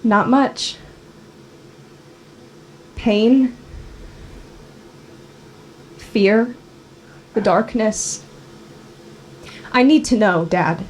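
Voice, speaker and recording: A woman's voice: mid-range voice, extremely slow, long pauses, slight background noise, rough recording. A woman playing a wounded, insistent daughter confronting her father in a dramatic script reading, with terse, earnest lines. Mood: insistent, fearful. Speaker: actor in a screenplay table read.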